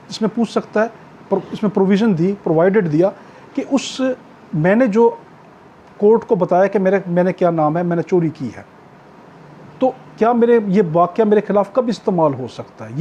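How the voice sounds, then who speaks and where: A man's voice: high-pitched, warm tone, lo-fi sound, a little hiss or hum. A man with a Pakistani accent teaching an exam-prep class as a lecturer, explaining emphatically with repetition and rhetorical questions. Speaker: law teacher.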